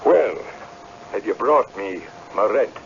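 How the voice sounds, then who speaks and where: A commanding old laird, crafty and blustering. The voice is gravelly and swoops widely in pitch, in the manner of old radio theatre. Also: male voice, animated, slow pace. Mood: suspicious. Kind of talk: old-time radio drama acting. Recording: dull, hissy vintage radio broadcast.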